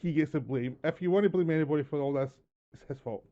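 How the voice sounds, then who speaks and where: Co-host: Scottish man, warm mellow voice, jokey and laughing, muffled echoey call audio. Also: a little high, fast talker. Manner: animated joking, laughs through lines. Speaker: podcast co-host. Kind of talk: casual podcast chat.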